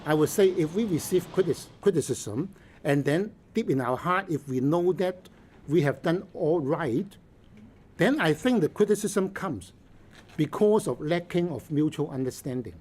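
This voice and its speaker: An older man, a senior official giving measured, formal interview answers. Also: a little high, lively intonation, a little mellow, husky.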